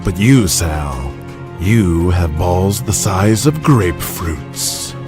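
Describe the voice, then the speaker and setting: A man voicing an arrogant, sneering company boss in an audio drama, mocking and deliberate with menace. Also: low voice, animated, over music. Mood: contemptuous.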